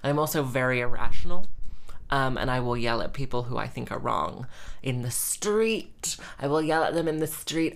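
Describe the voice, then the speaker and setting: A young woman in her twenties, a musician chatting in a rambling, self-mocking way with lots of fillers. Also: vocal fry. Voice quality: says she has a squeaky voice. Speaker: musician and vlogger. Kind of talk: casual rambling monologue.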